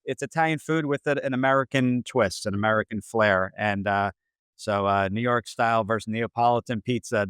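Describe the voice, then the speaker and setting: A man in his forties from New Jersey, a franchise consultant answering interview questions with many pauses and restarts. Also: medium pitch, fairly bright tone, clear, resonant tone, dry recording. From Central New Jersey. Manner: hesitant, many fillers and restarts.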